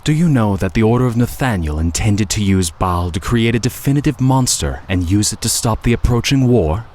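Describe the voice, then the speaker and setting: An actor playing a scheming, taunting villain who craves chaos, speaking theatrically and condescendingly in a video game. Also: male voice, on the low side, lively intonation, a little fast, a little mellow. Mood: smug explaining. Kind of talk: dramatic video game voice acting.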